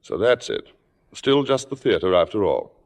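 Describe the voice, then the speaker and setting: Urbane, sardonic theater critic for vintage radio drama. Low voice, sweeping intonation, precise and controlling. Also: male voice, big pitch swings, moderate pace, gravelly, exaggerated voice. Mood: dry, skeptical. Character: suave, acid-tongued, controlling male theater critic. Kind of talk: 1950s radio drama acting and narration. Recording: reverberant old-time radio broadcast recording.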